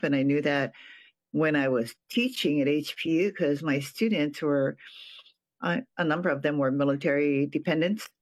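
Older man, from Hawaii, retired professor, reflective, halting phrases, somewhat high voice. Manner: thoughtful, pauses often and restarts phrases. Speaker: retired professor and nonprofit board president. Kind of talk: reflective personal account.

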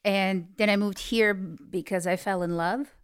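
Middle-aged woman from Serbia, theater artist, lively and self-mocking. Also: medium pitch, unhurried, clean tone. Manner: animated, self-deprecating, laughs while talking.

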